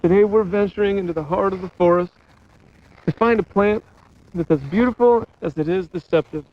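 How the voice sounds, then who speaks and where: Kids' woodland TV presenter acted by a man, hearty and welcoming, high male voice, dull vintage broadcast sound. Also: fairly quick, lightly acted. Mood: cheerful, inviting. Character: cheerful male narrator of a children's nature TV show. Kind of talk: scripted educational TV host narration. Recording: muffled lo-fi old TV broadcast, faint noise.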